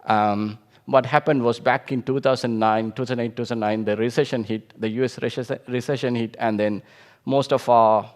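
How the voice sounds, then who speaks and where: A man's voice: mid-range voice, fairly even intonation, fairly quick. A man in his thirties from Sri Lanka, giving an informal conference talk with frequent restarts and fillers. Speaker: conference speaker.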